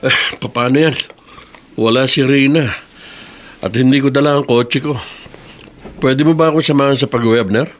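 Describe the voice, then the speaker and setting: A man's voice: mid-range voice, normal speed, pauses often, faint music behind, close to an everyday voice. An actor playing a kindly, easygoing middle-aged male office boss in a radio drama, with a Filipino accent. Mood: mild, accepting. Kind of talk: Tagalog radio drama acting.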